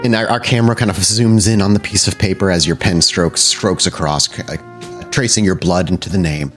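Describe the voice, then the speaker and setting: A man's voice: sits low, brisk, fairly bright tone, faint music behind. A man, improvising narration as the storyteller of a tabletop game.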